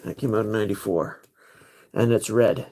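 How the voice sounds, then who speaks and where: A man's voice: fairly low pitch, normal speed, mellow. A man hosting a casual livestream, thinking aloud as he picks cards. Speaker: livestream host.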